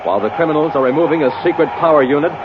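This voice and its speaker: A man announcing and pitching in 1950s radio style, excited, emphatic and scripted for a hard sell. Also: rapid-fire. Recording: dull, faintly noisy 1950s radio recording.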